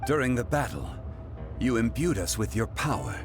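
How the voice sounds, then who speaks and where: An actor playing a dignified ancient god in human form in a game, speaking in a grave, formal way. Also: male voice, mid-range voice, expressive, moderate pace, over music, lightly acted. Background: game score.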